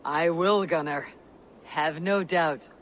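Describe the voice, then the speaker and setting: An actress playing a loyal, plain-spoken Viking warrior woman in a video game, resolute and measured. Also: deep voice, a little hiss or hum. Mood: steady, resolute. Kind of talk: dramatic video game acting.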